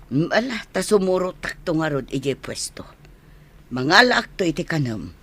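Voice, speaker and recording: An actress with a Filipino accent playing a caring but nagging wife in a radio drama, husky and scolding. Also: female voice, low voice, very animated, moderate pace, fairly bright tone, heavily acted. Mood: nagging concern. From Philippines. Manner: scolding, concerned. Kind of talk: Philippine radio drama acting.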